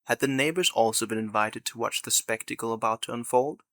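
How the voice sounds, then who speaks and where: Bright, close-miked man narrating a drama. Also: mid-range voice, fairly quick, no echo. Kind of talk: scripted audio drama narration.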